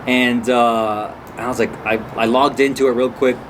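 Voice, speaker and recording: A man's voice: medium pitch, fairly even intonation, moderate pace. A man hosting a casual vlog, telling a story in a rambling, chatty way. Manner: rambling, chatty, restarts sentences. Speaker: vlog host. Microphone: camera on a phone or camera nearby. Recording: noisy background.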